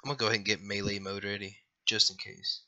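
A man's voice: lowish voice, little pitch movement, quick pace, sharp tone, dull audio. A young man with a scratchy voice after being sick, rambling and apologizing through casual gameplay commentary. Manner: rambling, apologetic, lots of fillers. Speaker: gaming youtuber.

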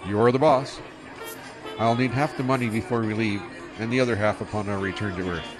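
Man doing a casual young ship pilot, low voice, music under him. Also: steady tone, fairly quick, natural voice. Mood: easygoing. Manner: laid-back, casual. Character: easygoing young male starship pilot.

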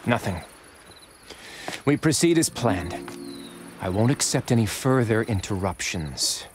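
An actor playing a haughty, imperious rich boss in a Western video game, pompous and condescending. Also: male voice, on the low side, sing-song, unhurried, background noise. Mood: irritated, commanding.

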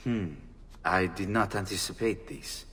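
An actor playing a stern but fond middle-aged nobleman in a video game, measured and authoritative. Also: sits low, fairly even intonation, a bit bright, husky, far from the mic, full character voice. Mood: businesslike. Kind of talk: video game character acting.

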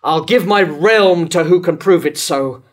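Older man playing a jealous, tormented king, slow-spoken. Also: fairly high pitch, close to an everyday voice. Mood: anguished, bitter. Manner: declamatory, deliberate, dramatic pauses. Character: jealous, tormented king Herod, wrathful and lovesick. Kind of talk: staged reading of Jacobean verse tragedy. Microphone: microphone on stand at lectern.

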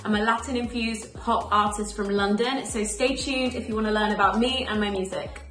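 A woman's voice: medium pitch, steady tone, echoey room. A young woman who grew up in Spain, a singer talking to camera about her music in an upbeat, chatty way. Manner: upbeat, chatty, lists things quickly. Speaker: emerging singer interviewed. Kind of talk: casual to-camera answers. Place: small bright room indoors.

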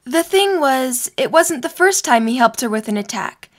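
A woman's voice: sits high, expressive, moderate pace. A young woman reading scripted story narration for an audio drama.

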